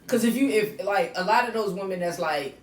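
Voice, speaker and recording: A woman with a slightly raspy voice, co-hosting a podcast with blunt, animated banter. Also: medium pitch, a little fast. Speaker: podcast co-host. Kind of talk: casual chat. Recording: distant mic in an echoey room with faint noise.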